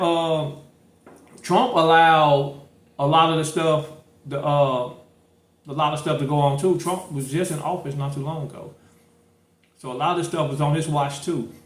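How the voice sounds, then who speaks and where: A man's voice: fairly high pitch, steady tone, moderate pace. A man hosting a podcast, chatting casually with lots of fillers and restarts. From St. Louis, Missouri. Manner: rambling, many fillers and restarts. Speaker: podcast host. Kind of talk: casual political chat. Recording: echoey room.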